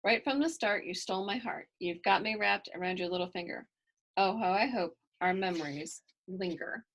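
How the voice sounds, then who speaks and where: A woman voicing a gentle, wise grandfather in a children's storybook, tender and reassuring. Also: mid-range voice, normal speed, muffled recording. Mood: affectionate.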